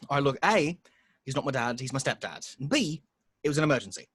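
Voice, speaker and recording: Weary young salesman, read by a man, lively pitch swings. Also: fast talker, husky, no echo, studio quality. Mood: defensive. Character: weary, sheepish young male shop salesman. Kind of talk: informal at-home script table read.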